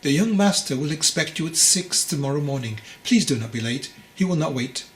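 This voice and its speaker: A man's voice: a little high, lively intonation, fairly quick, close to an everyday voice. A man voicing a curt, unsmiling young monk messenger in an audiobook, formal and clipped. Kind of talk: audiobook character voice.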